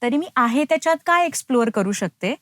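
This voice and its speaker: A woman's voice: expressive, fast, fairly bright tone, no echo, clean studio sound. A woman with a thin voice explaining her craft casually as an interview guest, mixing in English words. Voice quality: thin, high-pitched. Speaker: dubbing artist, interview guest.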